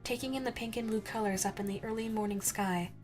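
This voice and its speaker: A woman reading a scripted second-person ASMR story as narrator, in a soft, dreamy storytelling manner. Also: on the high side, fairly even intonation, quick pace. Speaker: narrator and voice actress. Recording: music under the narration. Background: instrumental music.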